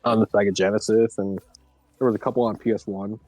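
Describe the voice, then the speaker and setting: A man's voice: lowish voice, flat delivery, quick pace, a little mellow, no room sound, faint music behind, rough recording. A man talking informally as a panelist in a casual live-streamed group chat.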